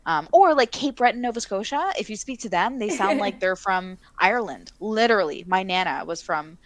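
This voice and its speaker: A woman's voice: medium pitch, animated, fairly quick, no room sound. Chatty Canadian woman in her thirties. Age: 30s. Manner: chatty, laughs often, frequent fillers. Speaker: co-host of a missing-persons livestream. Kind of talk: casual live chat.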